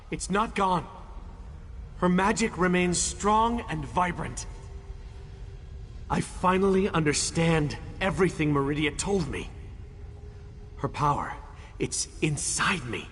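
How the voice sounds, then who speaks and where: An actor playing an earnest, selfless champion in a fantasy video game, speaking with solemn resolve. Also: male voice, fairly high pitch, lively intonation, takes it slowly, pauses often, a bit bright, in a large hall, a little hiss or hum. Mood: earnest, resolute.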